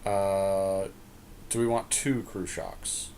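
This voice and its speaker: Guy with a lowish voice chatting through game choices, kinda tentative, some background noise. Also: steady tone, slow pace, a little mellow. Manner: deliberating, tentative, often posing questions. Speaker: co-host of a gaming podcast. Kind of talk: casual game commentary, thinking aloud.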